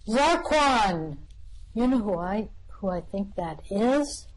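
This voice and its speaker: A woman voicing a brisk, wry male alien traveller in an amateur radio play reading. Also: medium pitch, expressive, rough recording.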